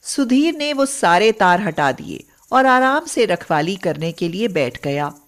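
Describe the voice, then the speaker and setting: A woman narrates a moral bedtime story. Her voice sits at a middle pitch. She reads the scripted lines very slowly, phrase by phrase. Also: very animated.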